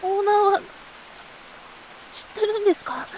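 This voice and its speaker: A woman voicing a timid, innocent young man in a Japanese audio drama, soft-spoken, hesitant and stammering. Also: very high-pitched, level tone, moderate pace, slight background noise, poor audio. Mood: anxious, downcast.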